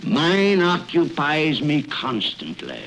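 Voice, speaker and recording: A man's voice: a little high, huge pitch swings, slow, creaky, a bit bright, exaggerated voice. An actor playing a cold-hearted, miserly old businessman, curt and scornful, in radio drama style. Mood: cold, dismissive. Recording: noisy vintage radio recording.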